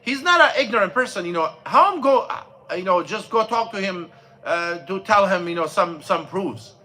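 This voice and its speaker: Bright-voiced man telling a story. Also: high voice, lively intonation. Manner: storytelling, halting with many fillers and restarts. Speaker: imam. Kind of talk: mosque class talk. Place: mosque classroom.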